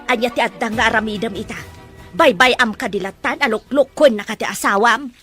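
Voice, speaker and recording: A woman playing an indignant, meddling mother who is quick to scold in a family melodrama. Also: a little high, sharp tone, soft background music. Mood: gossiping, indignant. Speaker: actor. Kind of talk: melodrama dialogue.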